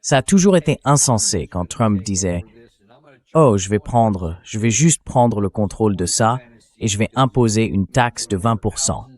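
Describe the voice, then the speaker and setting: A man's voice: lowish voice, expressive, a little slow. A man's synthetic AI voice dubbing an interview host in a translated political interview.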